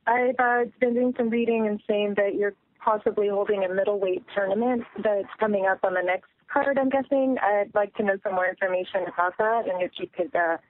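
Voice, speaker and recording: A woman's voice: medium pitch, no echo. Polite woman on a tinny phone line. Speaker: reporter. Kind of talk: asking questions on a press call. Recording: dry, narrow phone line.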